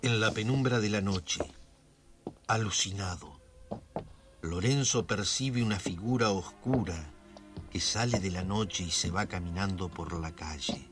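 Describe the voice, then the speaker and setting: A man's voice: sits low, measured pace. A man acting as the solemn, suspenseful narrator of a melodramatic radio thriller serial. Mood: grave suspense. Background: music.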